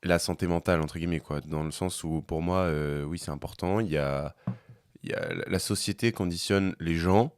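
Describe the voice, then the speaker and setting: A man's voice: deep voice, normal speed, darkish tone, no echo, studio quality. A man with a head cold chatting casually as a podcast co-host, hesitant and full of fillers and restarts. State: has a cold. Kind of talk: casual chat over a meal.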